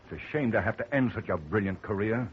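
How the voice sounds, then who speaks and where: A man playing a smooth, threatening killer holding a detective at gunpoint in a 1950s radio drama. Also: lowish voice, fast talker, close to an everyday voice. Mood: menacing. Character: cool menacing male killer holding a gun. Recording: dull lo-fi 1950 radio transcription with noise.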